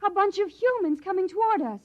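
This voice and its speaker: A woman's voice: very high-pitched, expressive, a little fast. A woman playing an anxious, devoted young daughter, emotional and urgent, in a 1950s radio drama style. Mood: alarmed. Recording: dull old radio recording.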